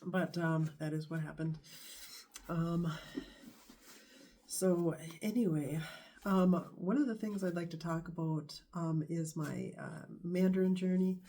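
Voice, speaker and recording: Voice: medium pitch, steady tone, dark tone. A vlogger with a stuffy nose from a bad cold, talking casually to camera in a rambling, hesitant way. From United States. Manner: rambling, hesitant, many pauses.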